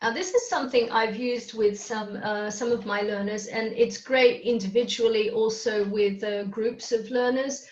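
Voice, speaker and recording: A woman's voice: on the high side, fairly even intonation, normal speed, few pauses, reverberant, lo-fi sound. A woman whose first language is Hungarian, presenting a live webinar on coaching and checking in often with her listeners. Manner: explanatory, often checks in with "okay?".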